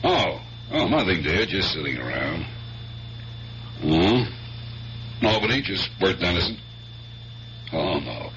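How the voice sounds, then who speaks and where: Deep, bright-edged wisecracking police lieutenant. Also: male voice, very animated, takes it slowly, long pauses, exaggerated voice. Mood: breezy, idle. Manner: chatty, needling, casual. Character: wisecracking, nagging married police lieutenant, breezy and nosy. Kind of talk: 1970s radio drama acting. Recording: muffled 1970s radio broadcast with loud hiss.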